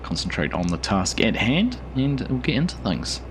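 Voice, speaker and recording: A man, a bit hoarse, giving relaxed, rambling commentary over a farming game. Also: fairly low pitch, normal speed, background noise. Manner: relaxed, rambling with frequent fillers. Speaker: gaming channel host. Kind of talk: casual gameplay commentary.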